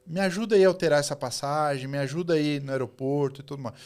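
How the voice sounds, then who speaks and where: A man hosting a podcast, asking questions casually, teasing his guest and making a persuasive pitch to listeners. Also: fast, a little mellow, clean tone. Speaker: podcast host.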